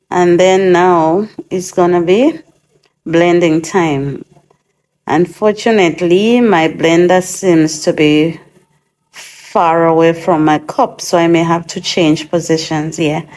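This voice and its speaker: A woman with a fairly low, slightly hoarse voice, recovering from a cold, talks her way through a recipe in her home kitchen. She chats casually and thinks aloud, filling pauses with ums. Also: darkish tone. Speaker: host of a DIY channel. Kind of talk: casual cooking demonstration.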